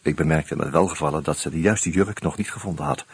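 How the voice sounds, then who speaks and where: An actor playing a wry first-person narrator in a Dutch radio drama, telling the story in a dry, measured way. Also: male voice, extremely low pitch, husky, heavily acted. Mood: wry, matter-of-fact.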